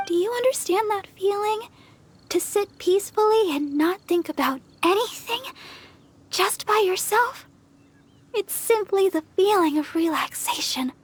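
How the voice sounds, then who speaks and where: A woman playing a gentle, earnest young deaconess in a video game, speaking softly, politely and a little hesitantly. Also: very high-pitched, expressive, unhurried, a little hiss or hum. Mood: wistful. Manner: soft, sweet, polite, hesitant. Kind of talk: English video game voice acting.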